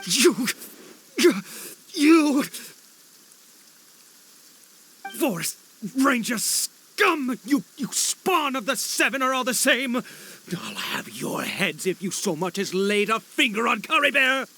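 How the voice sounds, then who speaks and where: An actor playing a frantic, fanatical father in a video game, speaking in a halting, stammering, theatrical way. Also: very high voice, sing-song, pushed and bright, slightly rough, full character voice, low-quality recording. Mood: furious. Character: frantic, fanatical father searching for his missing son. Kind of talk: dramatic video game voice acting.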